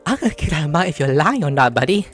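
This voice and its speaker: A man giving casual, loud, excitable commentary as he plays a game, full of exclamations. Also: a little high, racing. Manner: excitable, exclamatory, breaks into mock voices. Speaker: gameplay streamer. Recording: loud game music behind voice.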